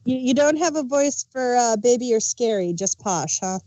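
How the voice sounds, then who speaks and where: A woman's voice: a little high, lively intonation, normal speed, warm tone, dry recording, rough recording. A woman co-hosting a casual podcast, chatting in brief, blunt interjections. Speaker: podcast co-host. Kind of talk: casual chat.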